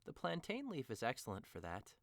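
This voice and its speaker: A melancholy young nobleman in love acted by a man in an audio drama. He is passionate and emotive, with a mid-range pitch that swings widely, recorded very dry. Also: wildly swooping pitch, fairly quick, a bit bright, no room sound. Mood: miserable, dramatic. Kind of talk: audio drama acting of modernized Shakespeare.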